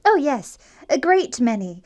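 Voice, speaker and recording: A woman acting a sweet but sharp-tongued young English country heiress in an audio play comedy, prim and pointedly polite. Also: high-pitched, big pitch swings, a little slow. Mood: sweetly barbed.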